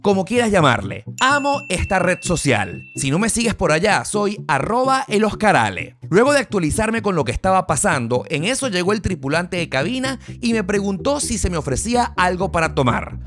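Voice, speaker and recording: A man's voice: sits high, big pitch swings, normal speed, few pauses, a bit bright. A man narrating a scripted tech review in his vlog, with an enthusiastic storytelling manner. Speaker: tech vlogger.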